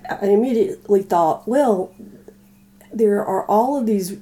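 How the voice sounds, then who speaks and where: Very mellow, dark-voiced woman co-host. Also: mid-range voice, unhurried. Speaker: podcast co-host. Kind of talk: casual chat.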